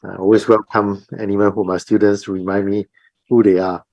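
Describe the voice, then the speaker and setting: A man's voice: fairly low pitch, little pitch movement, brisk, a little mellow, no echo, lo-fi sound. A man who is a university professor, chatting on an online panel. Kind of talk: casual panel chat.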